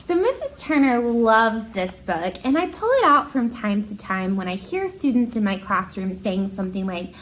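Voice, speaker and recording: A woman with a fairly high, very animated voice reads and chats as an art teacher would to children. Her delivery is expressive and encouraging, addressing young listeners directly as she goes. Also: moderate pace, hardly any pauses, poor audio. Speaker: art teacher reading to pupils. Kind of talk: children's picture book read-aloud and encouraging talk.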